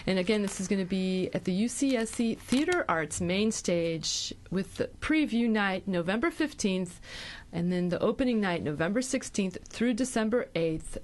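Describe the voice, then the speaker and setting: Woman, radio host, casual interview style, mid-pitched voice.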